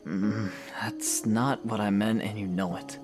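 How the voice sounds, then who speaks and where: An actor playing a reserved, awkward young male hero in a fan audio drama, speaking in a halting, sincere way. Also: on the low side, fairly even intonation, music in the background, lightly acted. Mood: reluctant, defensive. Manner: hesitant, soft-edged, halting.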